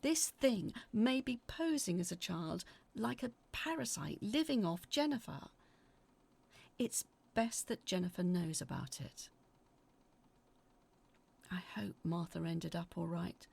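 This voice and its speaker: A woman acting as an anxious narrator, telling a scripted first-person horror story in a confiding, uneasy, dramatic way with a husky, creaky voice. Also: on the low side, animated, takes it slowly, a little mellow, full character voice. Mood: reflective, concerned. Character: anxious woman reading out her frightened letters about sinister events.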